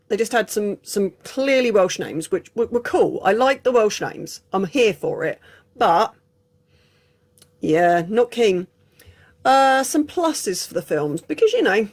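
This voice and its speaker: An English woman chatting casually through an unscripted film review, frank and prone to digressions. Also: medium pitch. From England. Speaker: film reviewer, channel host.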